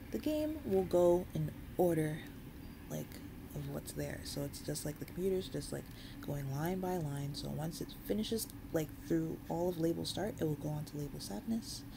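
A woman's voice: deep voice, lively intonation, normal speed, gravelly, background noise. A woman giving a casual screen-recorded coding tutorial, informal and thinking aloud as she works. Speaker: tutorial host, game developer.

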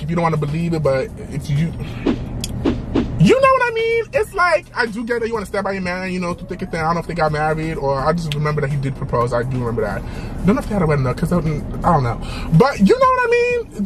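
A young man chatting casually to the camera while driving, sassy and animated, his pitch swinging up high for emphasis. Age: young adult. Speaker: vlogger. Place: inside a car with a sunroof. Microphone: camera mounted on dashboard.

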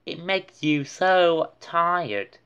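A woman's voice: fairly low pitch, lively intonation, very slow, fairly bright tone, smooth, lo-fi sound, pitched above the performer's own voice, heavily acted. A woman voicing a brainy, matter-of-fact young boy in a storybook, explaining things in a knowing way. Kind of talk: storybook character voice.

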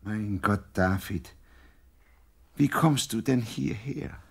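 Deep-voiced, worried old father. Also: slow pace, gravelly, husky, heavily acted. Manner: worried, grave. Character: anxious fatherly old man, biblical shepherd boy's father. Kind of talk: German radio play acting.

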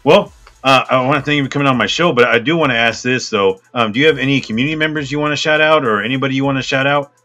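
Podcast host, man on a headset, laid-back and flat delivery, bright tone. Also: medium pitch, very fast, faint music behind. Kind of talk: casual interview hosting. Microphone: headset on video call.

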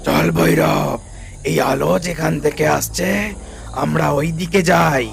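A man voicing a greedy, menacing sorcerer with a gravelly voice in a cartoon fairy tale. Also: a little high, fairly even intonation, measured pace. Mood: curious.

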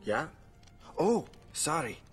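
A big gruff cartoon ogre with a Scottish accent, husky and very animated. He is awkward and proud, and his temper is quick to show. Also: a little high, faint music behind, full character voice. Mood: awkward, polite. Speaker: son-in-law at a family dinner. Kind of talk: animated film voice acting.

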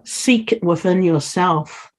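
A woman's voice: on the low side, slow pace, a little mellow, slightly rough. A middle-aged woman speaking earnestly as a guest on a video call, urging listeners on. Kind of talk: earnest interview talk.

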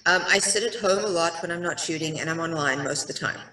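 A middle-aged woman with a South African accent talks through a headset on a video call. Her voice sits fairly low. The call sounds roomy and a little far off. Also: fast talker, a crowd in the background. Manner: chatty, self-deprecating, lots of ums. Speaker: actress, interview guest. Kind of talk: casual video-call interview. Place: bright room with a window.

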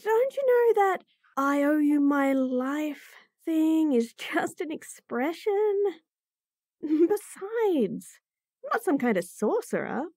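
A woman's voice: sits high, sing-song, slow, darkish tone, dry recording, studio quality, full character voice. A woman voicing a bratty, flustered young bunny girl who stammers and giggles nervously in a roleplay audio.

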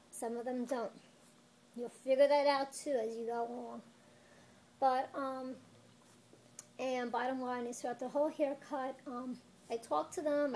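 Emphatic high-voiced woman. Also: darkish tone. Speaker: children's barber, shop owner. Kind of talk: informal explaining to camera. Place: small barbershop indoors. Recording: faint background room noise.

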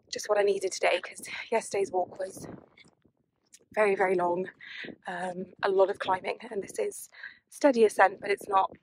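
Reflective woman vlogging outdoors, noisy recording. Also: mid-range voice. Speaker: hiking vlogger. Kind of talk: casual outdoor chat to camera. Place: open mountainside outdoors. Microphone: phone or camera at arm's length.

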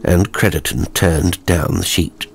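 A man narrating a horror story in a measured, theatrical storytelling manner, reading scripted literary prose. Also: low voice, steady tone, gravelly, slight background noise.

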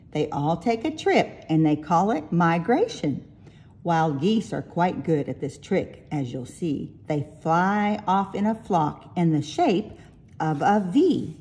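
A woman reading a rhyming picture book aloud with expressive, deliberate delivery. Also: fairly low pitch. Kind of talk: storybook read-aloud in rhyming verse.

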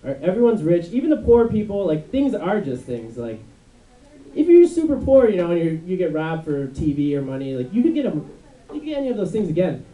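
A young man doing stand-up on a dark club stage, his high voice swooping lively up and down as he rambles through fillers. He is caught from a distance, with the room's echo around him. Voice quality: high, unmasculine voice. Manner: rambling, halting with many fillers. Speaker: stand-up comedian. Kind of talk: stand-up routine. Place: dark club stage before a live audience. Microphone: handheld mic on a stand. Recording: echoey room, mic from far off.

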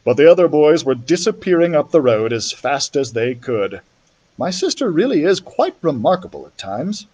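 A man reads a children's story aloud in an expressive storyteller's style. His voice sits a little high and moves up and down in a very lively way. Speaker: library storyteller.

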